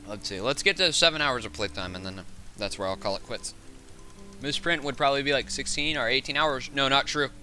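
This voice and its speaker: A man hosts a casual gaming stream in a hoarse, raspy voice that still cuts through very brightly. His pitch sits in the middle for a man. He rambles and reasons out loud, with faint music behind him. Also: fairly quick. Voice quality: raspy, sore voice. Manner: rambling, thinking aloud. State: hoarse from hours of talking. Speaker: game streamer, host. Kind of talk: casual livestream chat while gaming.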